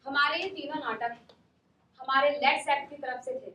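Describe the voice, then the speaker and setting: A nineteen-year-old woman from Uttar Pradesh, a student emceeing a drama show with polite, measured, formal announcements on a stage. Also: sits high, fairly even intonation, sharp tone, far from the mic. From Uttar Pradesh, India. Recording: echoey hall.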